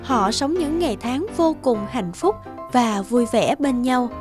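High-pitched woman narrating a fairy tale. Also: expressive, measured pace, music in the background.

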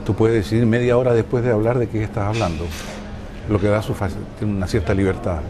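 An older man from Chile with a Chilean accent, answering interview questions in a hesitant way and often pausing to search for words. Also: fairly low pitch, level tone. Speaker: interviewee, filmmaker. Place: outdoor balcony above a city. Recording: outdoor city noise behind.

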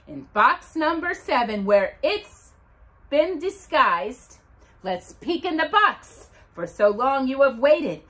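A middle-aged woman hosting a children's storytime, reading aloud in an expressive, deliberate, dramatic way with emphatic pauses. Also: high voice. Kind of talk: expressive read-aloud of Bible verses and a children's Christmas book. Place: living room at home, indoors by a brick fireplace.

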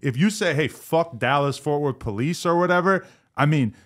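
A man's voice: fairly high pitch, expressive, moderate pace, a bit bright. A man hosting a podcast, talking through the news in a casual, rambling way and swearing freely. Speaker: podcast host. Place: podcast studio. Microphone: studio mic on stand.

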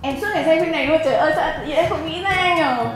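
High-voiced, quick young woman. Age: 20s. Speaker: quiz contestant. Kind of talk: casual banter. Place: indoor studio, seated at table. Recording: very echoey, music underneath.

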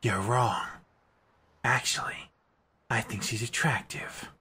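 A man voicing a brooding, aloof hedgehog anti-hero in a cartoon comic dub, terse, cold and deadpan, with gravel in the voice. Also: mid-range voice, takes it slowly, full character voice. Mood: matter-of-fact. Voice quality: husky, gravelly. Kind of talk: fan comic dub acting.

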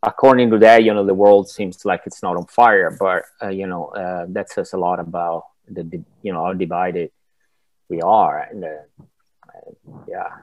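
An Italian-accented man in his forties answering interview questions from home, his lowish voice picked up dry and close by earphone mics. He pauses and restarts often, feeling his way toward each thought. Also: steady tone, no room sound, poor audio. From Italy. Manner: thoughtful, halting, searches for words with many restarts. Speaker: film director, masterclass guest. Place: home room, indoors. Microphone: wired earphones with mic on a video call.

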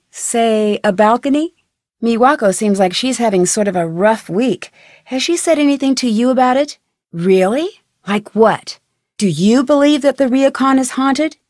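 A woman's voice: a little high, a little mellow, no echo, studio quality. A woman playing an inquisitive, friendly young female detective in a video game, speaking in an earnest, conversational way. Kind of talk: scripted video game dialogue.

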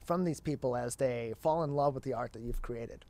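A man's voice: mid-range voice, moderate pace, darkish tone, no room sound. A young American man hosting an interview and promoting events, speaking into a close studio microphone. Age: young adult. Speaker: talk show host. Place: indoor studio. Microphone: broadcast microphone on a boom arm, close.

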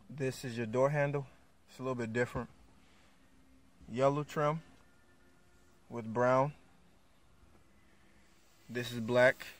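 Man, car vlogger voice: flat and unhurried, reads specs off the sticker, filmed on a handheld camera in a showroom with steady hum behind. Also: medium pitch. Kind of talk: casual walkaround commentary.

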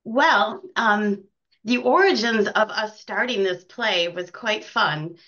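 A woman's voice: mid-range voice, slow pace, fairly bright tone, dull audio. An American woman chatting casually as an interview guest about her work as a theater co-director.

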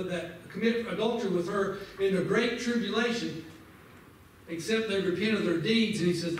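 A man teaching a Sunday school lesson, fervently quoting scripture and urging his listeners directly, in a large church sanctuary. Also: sits high. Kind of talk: preaching Bible lesson. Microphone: far camera at back of pews. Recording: echoey sanctuary from far off, faint noise.

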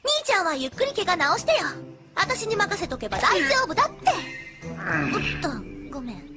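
A woman's voice: extremely high pitch, sing-song, brisk, loud music behind the voice. A woman voicing a confident, hardworking young female farm pony in a cartoon dub, plain-spoken and determined.

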